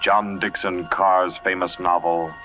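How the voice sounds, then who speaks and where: A man announcing a 1940s radio programme in a solemn, measured, dramatic scripted style. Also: sits low, little pitch movement. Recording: muffled vintage radio recording, loud music.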